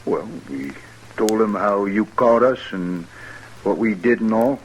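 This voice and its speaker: An actor playing a dim-witted, amiable outlaw prisoner in an old-time radio western, rustic and guileless. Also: male voice, fairly low pitch, a little slow, slight background noise, natural voice, low-quality recording.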